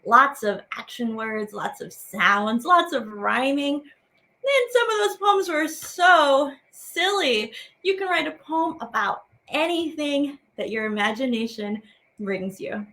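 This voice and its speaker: A woman's voice: sits high, lively intonation, takes it slowly, pushed and bright. A woman hosting a children's activity lesson as a teaching artist, speaking casually to viewers in a playful, encouraging way with simple phrasing.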